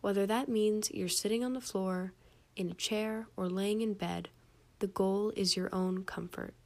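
Young woman calmly guiding a meditation. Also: mid-range voice. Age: young adult. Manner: calm, gentle, measured with soft phrase endings. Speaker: meditation guide. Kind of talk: scripted guided meditation.

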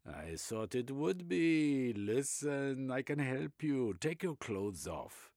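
A man voicing a flamboyant, pushy middle-aged German doctor with a German accent, excitable and lecturing. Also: medium pitch, takes it slowly, dry recording, studio quality, pitched above the performer's own voice.

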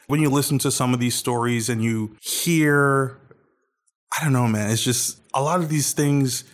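A man's voice: mid-range voice, a bit bright. A man co-hosts a casual podcast chat, talking with eager enthusiasm. His voice sits in an ordinary mid range. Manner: enthusiastic, chatty. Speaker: podcast co-host.

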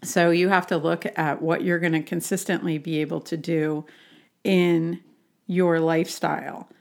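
A woman's voice: on the low side, little pitch movement, measured pace, darkish tone. A woman talking as a health coach, explaining things conversationally with questions and examples.

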